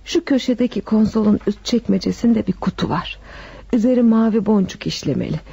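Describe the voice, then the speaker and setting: Frail old widow in a radio play, creaky n grateful, muffled sound w music. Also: female voice, medium pitch, brisk, warm tone, no echo. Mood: wistful. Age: older. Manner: affectionate, sighing, pious. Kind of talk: radio drama acting. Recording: dull lo-fi radio recording, music behind.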